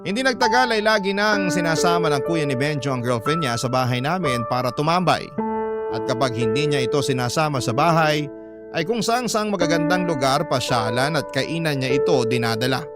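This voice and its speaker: A man's voice: fairly high pitch, lively intonation, brisk, over loud music. A man with a Filipino accent dramatically reading a listener's letter in the first person as a radio drama narrator.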